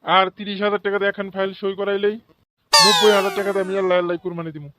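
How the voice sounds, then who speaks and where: A high-voiced, sanctimonious crooked official played by a man rattles along in a Bangladeshi regional accent. He is a self-righteous cartoon bribe-taker who wraps himself in piety, with loud music playing behind him. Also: level tone, very fast, lightly acted. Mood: sly, self-justifying. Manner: sanctimonious, peppers talk with pious phrases. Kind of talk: comic cartoon voice acting.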